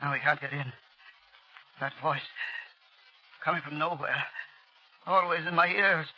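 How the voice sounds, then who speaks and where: An actor playing a guilt-ridden army doctor near breakdown, pleading and stammering in a 1930s radio drama. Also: male voice, fairly high pitch, a little slow, sharp tone, muffled recording, faint music behind. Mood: panicked muttering. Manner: pleading, overwrought, breathless stammering. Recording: vintage 1930s radio recording.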